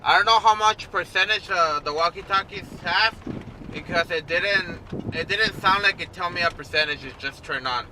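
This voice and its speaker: A man talking casually in an unscripted vlog as he reviews gear, in a rambling, halting way. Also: high voice. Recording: clear background noise, vehicle sounds.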